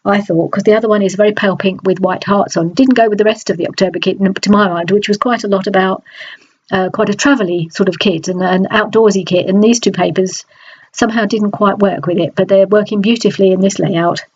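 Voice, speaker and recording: A woman with a stuffy, nasal voice from a cold, chatting in a relaxed voice-over about a craft project, with many small restarts. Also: mid-range voice, brisk, lo-fi sound. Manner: chatty, hesitant, frequent restarts. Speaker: scrapbooking crafter. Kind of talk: process video voice-over.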